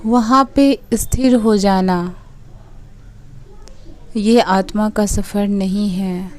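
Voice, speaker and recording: A woman leading a guided meditation, giving soothing, unhurried instructions in short phrases with pauses between them. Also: medium pitch, steady tone, a little mellow.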